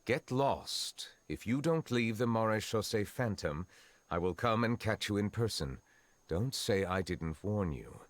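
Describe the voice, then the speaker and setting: An actor playing a grave, composed high official in a video game, speaking in a formal, measured and serious manner. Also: male voice, animated. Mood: reading a threat.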